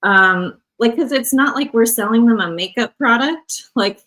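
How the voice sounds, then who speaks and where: A woman who works in medical device sales, speaking as a podcast guest in a relaxed, rambling way full of fillers. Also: fairly high pitch, measured pace. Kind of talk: casual podcast conversation.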